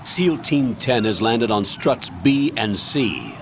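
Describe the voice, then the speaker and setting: An actor playing a stern, authoritative older military colonel in a video game, commanding, measured and formal as he gives a mission briefing. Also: mid-range voice, lively intonation, slight background noise. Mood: briefing, businesslike.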